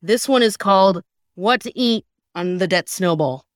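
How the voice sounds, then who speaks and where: A woman's voice: medium pitch, moderate pace. A woman hosting a podcast, chatting casually with wry, sarcastic humor. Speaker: podcast host. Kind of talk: casual comedic chat.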